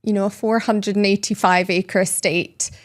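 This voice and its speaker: A woman's voice: medium pitch, fairly even intonation, moderate pace. A Scottish woman in her thirties, enthusiastically describing things as a guest in a casual podcast interview. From Scotland, Speyside. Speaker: brand education guest.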